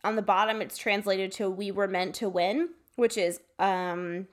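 A woman's voice: mid-range voice, steady tone, measured pace. A woman co-hosting a podcast in casual conversation, speaking in an informal, playful and effusive way. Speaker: podcast co-host.

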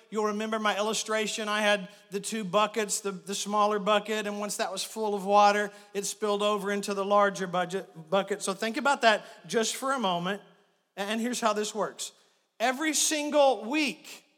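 A man's voice: high-pitched, a bit bright. A man preaching a sermon as pastor while fighting a head cold, chatty with joking asides. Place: church sanctuary. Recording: long reverb of a big hall.

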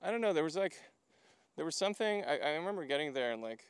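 Clear-voiced man from Hungary, rambling casually. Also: fairly high pitch, animated, fast, no echo. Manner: rambling, filler-heavy storytelling with frequent "like". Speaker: podcast guest. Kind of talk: casual chat while walking. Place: outdoors, walking to a lookout.

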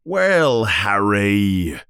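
A man voicing a huge, gruff, kindly but hot-tempered giant in a storybook reading. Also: sits low, big pitch swings, drawn-out words, a bit bright, dry recording, clean studio sound, exaggerated voice. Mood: friendly, apologetic. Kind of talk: storybook character voice.

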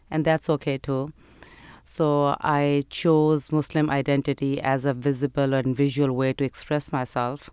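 A woman's voice: sits low, darkish tone, smooth, no room sound, clean studio sound. A woman, telling her story earnestly as an interview guest. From Pakistan. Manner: earnest, measured storytelling with fillers. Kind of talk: interview answers.

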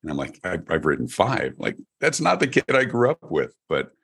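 Deep-voiced man, husky, animated storyteller, podcast guest. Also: moderate pace. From Denver, Colorado, USA. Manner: animated storyteller, chatty with restarts. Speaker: keynote speaker and guest. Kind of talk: podcast interview.

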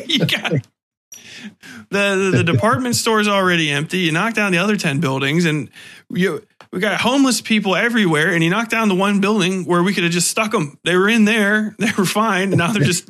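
A man's voice: high-pitched, moderate pace, bright, forceful tone. An American man hosting a podcast interview in casual chat, riffing comically and swearing freely.

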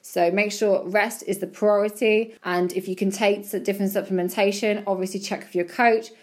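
A woman giving chatty, encouraging advice about bodybuilding show prep, her voice slightly croaky. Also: mid-range voice, fairly even intonation, moderate pace. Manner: chatty, encouraging, repeats words for emphasis. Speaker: bodybuilding competitor and podcast host. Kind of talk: casual advice monologue.

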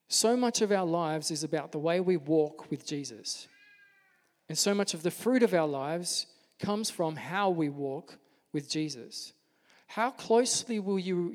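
A soft-spoken man preaching a sermon as a pastor, in an earnest, gentle manner. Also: sits high. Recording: long hall reverb.

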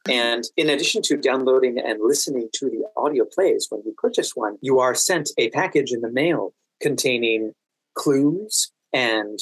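A man's voice: medium pitch, breathy edge, no room sound, poor audio. American man, dry close sound. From United States. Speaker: podcast guest, actor and event manager. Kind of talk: conversational interview.

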